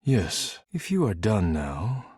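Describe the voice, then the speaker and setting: A man voicing a stern, proud dishware spirit in a video game, speaking in a formal, clipped, deadpan and disdainful way. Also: fairly low pitch, takes it slowly, husky, dry recording, studio quality, heavily acted. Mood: grave, dismissive. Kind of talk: scripted video game character acting.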